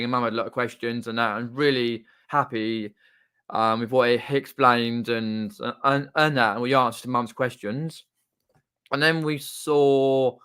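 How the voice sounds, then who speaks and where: A man chatting casually on a livestream in a rambling, halting way, often trailing off mid-sentence. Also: medium pitch, bright, forceful tone, smooth. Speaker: live streamer and video channel host. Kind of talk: casual unscripted livestream chat. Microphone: desktop condenser microphone.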